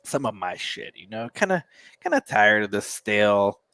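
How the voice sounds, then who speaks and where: A man's voice: lowish voice, sing-song, normal speed, sharp tone, no echo. A man, co-hosting a casual music reaction show, joking and sarcastic as he rambles. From United States.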